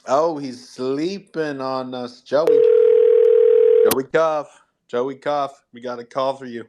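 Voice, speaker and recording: A man's voice: medium pitch, steady tone, takes it slowly, background noise. Male host, mid-range voice, enthusiastic promotional delivery for a live audio room. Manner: enthusiastic, hype-filled encouragement. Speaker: host of an NFT marketing audio show.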